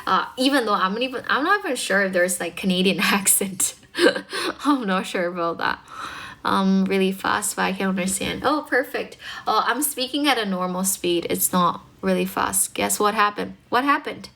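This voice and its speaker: A woman's voice: medium pitch, animated, moderate pace, vocal fry, sharp tone. A young woman with a North American accent and Chinese as her first language, chatting casually and encouragingly with her livestream viewers as an English tutor, with plenty of "um" and "like". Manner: chatty, encouraging, filler-heavy.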